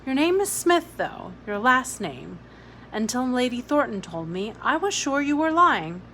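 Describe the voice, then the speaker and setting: A woman's voice: on the high side, very animated, unhurried, fairly bright tone, a little hiss or hum, pitched above the performer's own voice, exaggerated voice, low-quality recording. A woman reading a storybook, voicing a weary, blunt, guilt-ridden woman guardian with an emphatic manner. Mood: exasperated.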